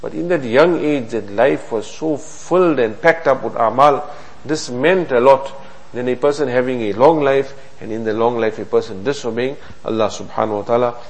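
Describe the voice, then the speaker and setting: Man, religious teacher, earnest preaching, repeats phrases, quick Arabic recitation, mid pitch. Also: rough recording. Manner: earnest, repetitive, rhetorical questions. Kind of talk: hadith lesson sermon.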